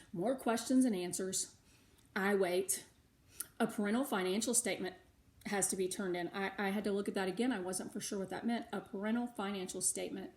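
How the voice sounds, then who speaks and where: A woman with an American accent reading a storybook aloud for schoolchildren in an animated, emphatic way. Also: mid-range voice, gravelly. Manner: animated, emphatic, with occasional asides. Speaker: read-aloud narrator for schoolchildren. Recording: roomy, echoing indoor sound.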